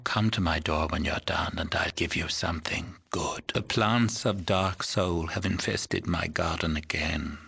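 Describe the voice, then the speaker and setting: A man's voice: on the low side, gravelly, a bit bright, breathy edge, full character voice. An actor playing a frail old village shaman in a video game, mystical and solemn, speaking in ceremonious, cryptic riddles. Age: older. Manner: slow, ceremonious, cryptic, archaic phrasing. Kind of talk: scripted video game voice acting.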